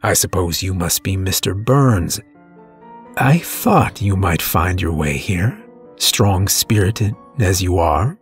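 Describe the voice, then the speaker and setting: A man voicing a pale, refined male stranger who bargains for souls, with a smooth, sharp, mock-polite drawl. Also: low voice, animated, slow, faint music behind, voice pushed down. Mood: taunting. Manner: taunting, giddy, drawling. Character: pale, refined, taunting male soul-dealer.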